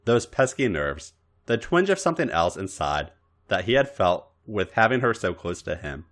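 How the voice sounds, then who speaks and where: A man's voice: fairly low pitch, big pitch swings, normal speed, a bit bright. A man narrating erotic fan fiction for a podcast in a theatrical, dramatic style. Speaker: podcast story reader.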